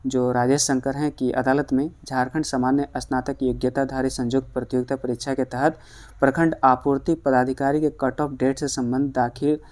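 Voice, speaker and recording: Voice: mid-range voice, level tone, normal speed, a little mellow. News presenter explaining updates. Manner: addresses listeners as friends. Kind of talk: explanatory news commentary for online viewers.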